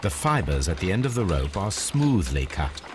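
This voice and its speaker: A methodical gentleman detective in a video game, calm and precise. His voice is very deep but moves a lot in pitch, and clear ambient game noise sits behind it. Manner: measured and deliberate.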